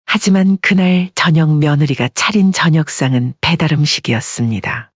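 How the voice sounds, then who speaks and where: Story narrator, husky voice, scripted delivery, dry, slightly muffled recording. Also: medium pitch, normal speed, no room sound.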